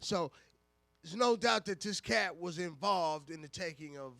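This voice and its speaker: A man's voice: high-pitched, unhurried, vocal fry, bright, forceful tone, no room sound. A man with an American accent co-hosting a casual radio show, talking informally and repeating his phrases. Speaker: radio talk show co-host. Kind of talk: casual live radio talk.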